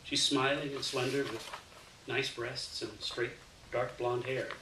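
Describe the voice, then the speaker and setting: A man reading a first-person short story aloud in an expressive, dramatic way. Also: medium pitch, measured pace. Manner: dramatic, animated delivery with acted dialogue. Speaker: reader of a short story to an audience. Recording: roomy, distant mic, faint noise.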